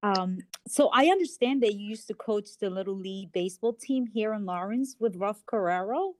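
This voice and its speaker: A woman's voice: mid-range voice, normal speed, darkish tone, slight background noise. A woman conducting a conversational interview, asking questions and affirming what she hears. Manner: affirming, reflects back on answers.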